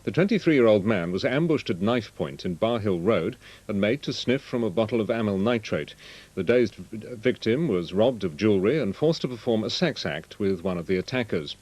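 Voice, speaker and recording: Male English newsreader, lower register, somewhat rough-grained tone, formal scripted bulletin read. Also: animated, normal speed, darkish tone. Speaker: news reader.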